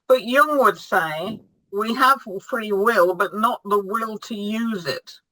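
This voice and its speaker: A woman astrologer fields questions on a video call, halting and full of ums. Her delivery is slow and unhurried, with a bright, forceful tone. The sound is dry and close. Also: mid-range voice, dry recording, low-quality recording. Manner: hesitant, with many ums and restarts. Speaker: guest astrologer.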